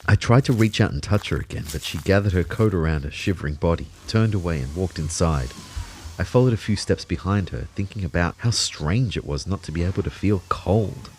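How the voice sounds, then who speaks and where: A man playing a melancholy ghost who narrates his own story in measured, reflective audio fiction. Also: deep voice, expressive, darkish tone, a little hiss or hum. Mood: wistful, sad. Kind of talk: first-person scripted story narration.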